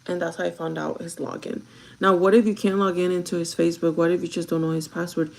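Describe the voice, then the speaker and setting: A young woman with a gravelly, groggy voice chats casually and directly to her viewers, giving advice in an informal vlog style. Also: lowish voice, level tone, fast, a little mellow. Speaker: vlogger chatting while doing makeup.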